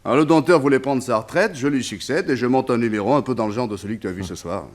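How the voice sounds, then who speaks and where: A man's voice: mid-range voice, quick pace, lightly acted. An actor playing a wistful former colonial officer turned circus tamer in a French radio serial, telling his story in a conversational, hesitant way. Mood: fond reminiscing.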